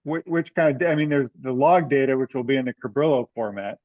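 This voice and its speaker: A man explaining things casually on a teleconference, somewhat hesitant and measured. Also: mid-range voice, dry recording. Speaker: meeting participant. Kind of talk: casual explanatory call discussion. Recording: dull, lo-fi teleconference audio.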